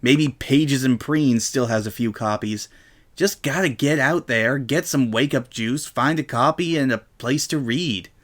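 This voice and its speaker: A man voicing a brash, indignant young female pegasus pony, loud, defensive and exclamatory, in a story reading. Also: mid-range voice, unhurried, close to an everyday voice. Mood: grumbling.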